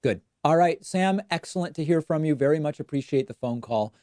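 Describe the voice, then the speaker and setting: A man's voice: fairly high pitch, lively intonation, fairly quick, dark tone, dry recording. A male host of a call-in talk show, asking callers dry, probing questions.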